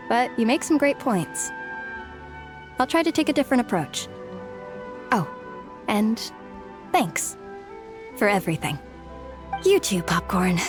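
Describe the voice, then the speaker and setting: A slow, thankful young woman. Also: a little high. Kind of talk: game voice acting.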